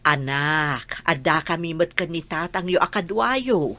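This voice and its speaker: A woman's voice: deep voice, animated, measured pace, sharp tone, no echo, full character voice. A woman with an Ilocano accent acting a coaxing, exasperated mother in a radio drama.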